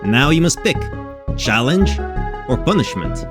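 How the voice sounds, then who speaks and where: A man's voice: medium pitch, very animated, measured pace, over music. A man acting as a showy game show host in an audio drama, with theatrical presenter patter. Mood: showy, upbeat.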